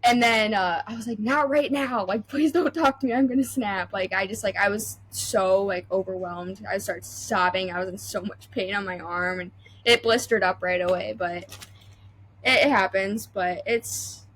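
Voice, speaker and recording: A woman's voice: medium pitch, normal speed, fairly bright tone. A young adult woman welder talking as a podcast guest, chatty and full of fillers and restarts. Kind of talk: casual interview chat.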